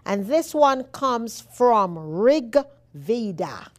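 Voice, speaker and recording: Slow-spoken Jamaican woman host. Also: on the high side, very animated. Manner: slow, deliberate reading with emphatic repeats. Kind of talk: reading inspirational quotes on a show.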